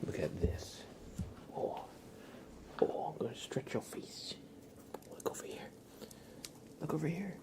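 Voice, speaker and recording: A man hosting an ASMR livestream, chatting softly and playfully in a breathy, often whispered voice, repeating short phrases as if to a pet. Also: on the high side, very animated, measured pace, long pauses. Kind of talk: ASMR, casual chat with a pet and viewers.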